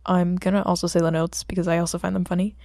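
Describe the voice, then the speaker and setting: A woman's voice: lowish voice, level tone, fast, darkish tone, dry recording, clean studio sound. A woman with a sore throat, speaking as an amateur audiobook reader in an informal, homemade style. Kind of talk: story narration and casual chat with listeners.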